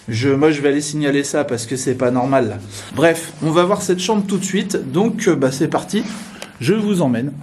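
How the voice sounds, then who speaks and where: Man, friendly vlogger giving a casual hotel walkthrough, slightly high voice, faint noise behind. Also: normal speed, darkish tone. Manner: chatty, friendly, many filler sounds. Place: indoors in a hotel, walking through corridors and a room.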